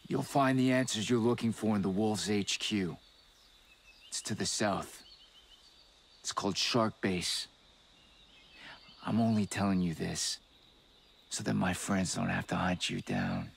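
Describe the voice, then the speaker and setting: Weary soldier for a game: low, fry-edged, grimly matter-of-fact. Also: male voice, very animated, measured pace, long pauses, exaggerated voice. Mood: grim warning. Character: weary, wary soldier giving a grim warning. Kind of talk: video game cutscene acting.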